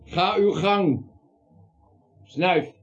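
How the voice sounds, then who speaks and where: A man's voice: high-pitched, drawn-out words, breathy edge, heavily acted. An actor playing an earnest, credulous henchman who speaks emphatically in a Dutch radio play. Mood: awed, insistent. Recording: muffled, dull radio play recording.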